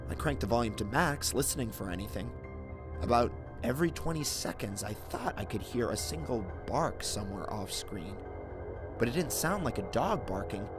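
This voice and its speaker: A man reading a scripted first-person horror story in a suspenseful, theatrical way. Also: mid-range voice. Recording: narration over clearly audible background music. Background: background music.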